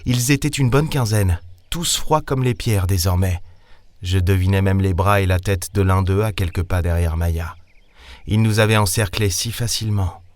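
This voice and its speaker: A man's voice: deep voice, moderate pace, darkish tone, dry recording, natural voice. A man playing a weary, lonely space captain, narrating an audio drama in brooding, hesitant short phrases. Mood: grave, reflective. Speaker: narrator and lead character of an audio serial. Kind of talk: dramatic first-person audio fiction narration.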